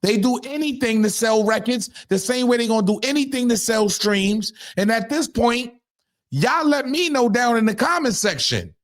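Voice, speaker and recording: A man's voice: very high voice, animated, normal speed. A man from Harlem with a New York accent, hosting his own commentary channel and talking casually to the camera, emphatic and repeating rhetorical questions to his viewers.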